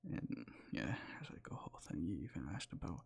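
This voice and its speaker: Tired guy whispering boyfriend asmr, kinda low, super husky and airy. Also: sing-song, fairly quick. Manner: soft rambling whisper, loses his words. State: sleepy. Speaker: ASMR creator.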